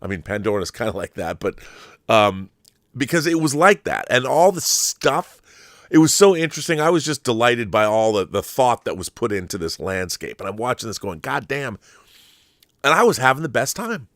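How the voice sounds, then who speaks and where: A man's voice: medium pitch, sing-song, moderate pace, fairly bright tone, no echo, studio quality. A man hosting a film commentary show, talking in a casual, opinionated monologue with an effusive, emphatic, rambling manner and some swearing.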